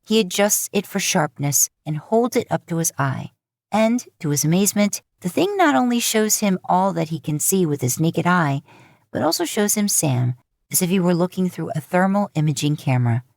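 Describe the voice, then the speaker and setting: A woman's voice: lowish voice, expressive, moderate pace, vocal fry, darkish tone, dry recording, studio quality. A woman reading scripted fiction aloud as an audiobook-style narrator. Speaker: podfic narrator.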